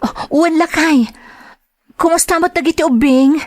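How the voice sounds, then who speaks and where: A woman's voice: high voice, measured pace, darkish tone, no room sound, clean studio sound. A woman acting in a drama as a devoted, homesick Filipino mother working as a nanny, with a Filipino accent and an earnest, polite way of speaking. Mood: affectionate, wistful. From Philippines. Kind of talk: radio drama acting and narration.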